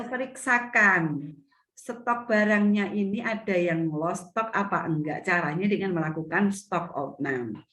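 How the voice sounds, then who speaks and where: A middle-aged woman, teaching an online seminar as a retail business mentor over a video call, her voice a little hoarse from a cough, often restating her points. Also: medium pitch, a bit bright. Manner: explanatory, repeats and restates points. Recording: echoey video call audio.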